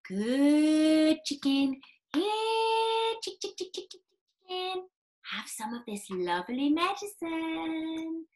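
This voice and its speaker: A woman voicing a young boy who softly coaxes a chicken. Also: extremely high pitch, flat delivery, slow, pitched above the performer's own voice. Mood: coaxing. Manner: soft, coaxing.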